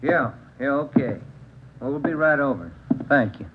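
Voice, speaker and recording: Mid-pitched man, actor as American police detective partner, brisk, plain-spoken, businesslike, old radio drama, tinny hissy sound. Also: expressive, lightly acted. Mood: brisk, concerned. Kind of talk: 1940s-50s radio police drama dialogue.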